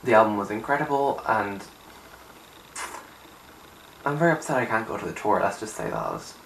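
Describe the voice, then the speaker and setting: Raspy just-woke-up young man, roomy sound. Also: fairly low pitch, lively intonation, moderate pace, a bit bright. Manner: chatty, rambling, emphatic with filler "like". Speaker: music vlogger. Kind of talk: casual opinion chat to camera. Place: small untidy room indoors. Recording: roomy, distant camera sound with faint hiss.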